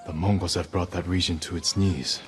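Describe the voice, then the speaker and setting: A man acting a stoic young samurai lord in a video game cutscene, speaking in a measured, grave, terse way. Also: low-pitched, fairly even intonation, natural voice. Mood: grave, steady.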